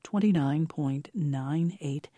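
A man hosting a radio news program, reading weather and introducing stories in a steady announcer way. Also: on the high side, slow, dry recording.

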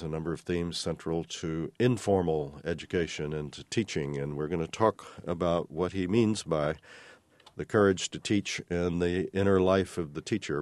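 American guy, low voice, interview host, kinda formal and measured, dry close sound. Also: darkish tone, dry recording. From Texas, United States. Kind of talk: unhurried radio-style interview.

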